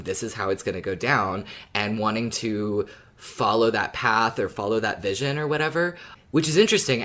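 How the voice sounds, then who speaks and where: A man with a slightly low voice co-hosts a casual fan podcast. He speaks informally and reflectively, feeling his way through each thought with some hesitant phrasing. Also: a bit bright. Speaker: podcast co-host. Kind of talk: casual fan discussion chat.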